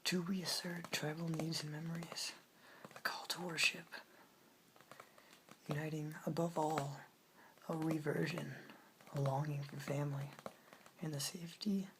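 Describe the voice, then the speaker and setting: A woman reads in a hushed, breathy ASMR whisper. Her voice sits low and moves slowly from phrase to phrase. The recording carries clear background noise. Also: flat delivery. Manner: whispered, breathy, unhurried delivery. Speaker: ASMR host reading poetry aloud. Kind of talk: soft-spoken ASMR poetry reading. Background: hiss, clicks from tapping and page turning.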